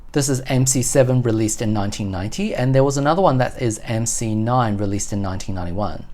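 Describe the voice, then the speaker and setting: Channel host, mid-range voice, explanatory unboxing commentary, measured, methodical. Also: medium pitch, a little mellow. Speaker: host of a tabletop game collecting channel.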